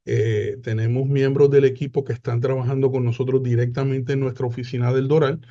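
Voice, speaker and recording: A man, presenting a webinar in an unhurried way with frequent hesitant fillers over a video call. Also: medium pitch, little pitch movement, warm tone, lo-fi sound. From Puerto Rico.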